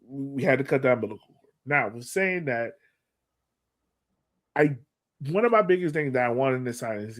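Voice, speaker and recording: Guy doing casual soccer podcast breakdown, normal pitch, slangy, repeats stuff to make his point. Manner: emphatic, repeats for effect, colloquial. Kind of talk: casual sports analysis.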